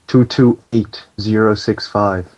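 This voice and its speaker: A man playing a calm, bossy fire alarm operator on a prank phone call, deadpan, procedural and insistent. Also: lowish voice, slow pace. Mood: deadpan, official. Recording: dull lo-fi phone call recording.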